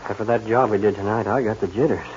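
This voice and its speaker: A man acts a scrappy outlaw henchman in a western radio play. He talks very fast and roughly, on a muffled old recording with faint noise. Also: on the low side, fairly even intonation, darkish tone, close to an everyday voice. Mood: jittery. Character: pugnacious, jittery henchman of a western gang boss.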